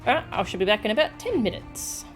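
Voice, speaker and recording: A woman's voice: on the high side, big pitch swings, fast talker, faint music behind. A woman game streamer chatting casually to her viewers, informal and given to muttered asides. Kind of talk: casual live stream chat, reading game text aloud.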